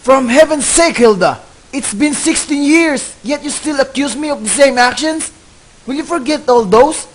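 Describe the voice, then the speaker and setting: A man playing a loving father in a student radio drama, gentle with his child and heated in arguments with his wife. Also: very high-pitched, normal speed. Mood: angry. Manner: soft, tender storytelling to his child. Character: loving father, middle-aged man, gentle then angry husband. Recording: noisy amateur recording with hiss.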